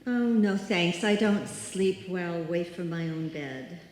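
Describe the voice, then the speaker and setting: A woman's voice: fairly low pitch, a little slow, darkish tone, lightly acted. A woman playing a stubborn, proud single woman who puts on bravery, in live old-time radio suspense style. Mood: firm, impatient. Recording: echoey hall, music behind.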